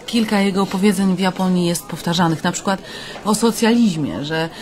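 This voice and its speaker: A woman's voice: on the low side, a little fast, a little mellow, slight background noise, natural voice. An actress in a radio play playing a curious, attentive woman interviewer in a conversational style. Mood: interested, amused.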